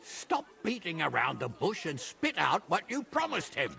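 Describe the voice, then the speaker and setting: An actor playing a blunt, boisterous middle-aged nobleman in a medieval video game, gruff and given to exclaiming. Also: fairly high pitch, wildly swooping pitch, moderate pace, bright, forceful tone, full character voice. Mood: blunt, astonished. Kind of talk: dramatic video game voice acting.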